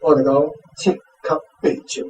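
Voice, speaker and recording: A man with a Taiwanese accent and a fairly high voice works as a language teacher. He explains things off the cuff and repeats phrases for his student. The sound is roomy and a little distant from the mic. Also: little pitch movement, moderate pace, a little mellow, a little hiss or hum.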